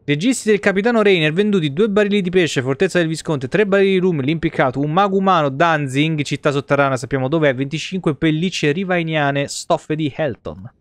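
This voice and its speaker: Crude, slangy male game streamer, slightly high voice. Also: fairly quick, few pauses, no room sound. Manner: crude, slangy, swears freely. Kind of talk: live gameplay commentary, casual chat. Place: small room at home, streaming setup. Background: game music.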